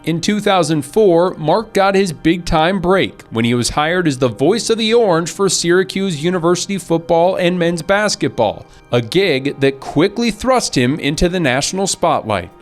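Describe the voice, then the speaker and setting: A man's voice: a little high, lively intonation, moderate pace, hardly any pauses. A man with an American accent reading scripted narration for a sports feature. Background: background music.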